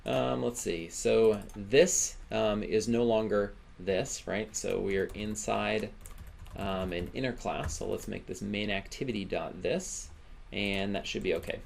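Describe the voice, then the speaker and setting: Male instructor, low register with noticeable vocal fry, informal screencast teaching, talks through each step aloud. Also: a little slow, a little hiss or hum. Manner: casual, informal explaining, often ends phrases with "right?". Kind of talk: software screencast tutorial, thinking aloud while coding.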